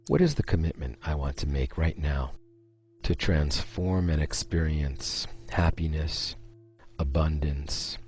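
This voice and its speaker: A man leading a guided meditation, speaking in a slow, soothing way with pauses between phrases. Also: a bass voice, darkish tone, slightly rough.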